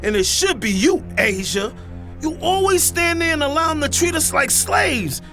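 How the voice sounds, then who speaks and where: An actor playing a drunk, defiant, protective brother in an audio drama, slangy, profane and taunting. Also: male voice, extremely high pitch, expressive, moderate pace, a bit bright, faint music behind, exaggerated voice. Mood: furious. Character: hot-headed, drunk, defiant adult son and protective brother.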